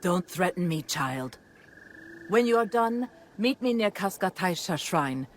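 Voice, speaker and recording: An actress playing a commanding samurai clan noblewoman in a video game, speaking in an imperious, measured, formal way. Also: fairly low pitch, lively intonation, slight background noise. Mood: cool, threatening. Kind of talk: video game cutscene acting.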